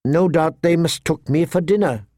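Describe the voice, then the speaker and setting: Guy doing a sardonic little dwarf lord for an audiobook, dry n wry, kinda formal, pitched up, no room sound. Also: normal speed, mellow, no echo, lightly acted. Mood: dry, unruffled. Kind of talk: audiobook character acting.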